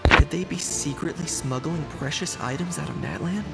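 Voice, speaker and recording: An actor playing an observant, composed investigator in a fantasy game, speaking in a measured, analytical way. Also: male voice, fairly high pitch, gravelly. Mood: suspicious. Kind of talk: scripted game story dialogue. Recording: loud game music behind voice. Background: game music.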